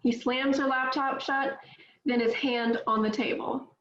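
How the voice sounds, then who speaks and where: A woman with a somewhat high voice reads stage directions in a plain, measured way. The recording is roomy and a bit dull, with a faint hiss underneath. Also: steady tone, fairly bright tone. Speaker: narrator reading stage directions. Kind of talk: table read narration.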